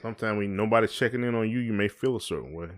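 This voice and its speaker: A middle-aged American man with a fairly low-pitched voice. He talks like a podcast host in a relaxed conversation, reflective and colloquial in his phrasing. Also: racing. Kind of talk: casual chat.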